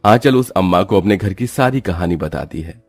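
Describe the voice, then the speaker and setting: A man's voice: low-pitched, animated, normal speed, no room sound. A man narrating a scripted cartoon story.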